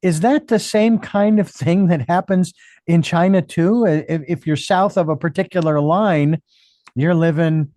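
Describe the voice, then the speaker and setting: A man's voice: a little high, unhurried, mellow, no echo, studio quality. Musing interview host, man. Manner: rambling, musing questions with restarts. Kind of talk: conversational interview.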